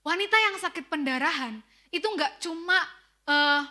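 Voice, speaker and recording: A young woman with a Tegal Javanese accent preaches to her congregation, her voice high and bright. She tells stories in a lively way, with quoted asides, and keeps speaking straight to the listeners. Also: moderate pace. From Central Java, Indonesia. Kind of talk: sermon.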